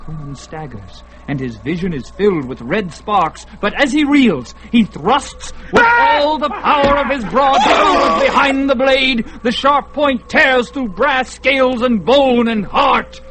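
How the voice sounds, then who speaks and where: A man narrates a radio drama slowly and gravely, weighing every line. Music plays clearly underneath him. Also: on the high side, a bit bright, rough recording. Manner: slow, grave, measured.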